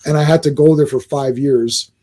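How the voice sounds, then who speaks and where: A man co-hosting a casual podcast, talking in an animated, rambling way with many restarts. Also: medium pitch, normal speed, a little hiss or hum. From Croatian family, born locally. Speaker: podcast co-host. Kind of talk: casual chat.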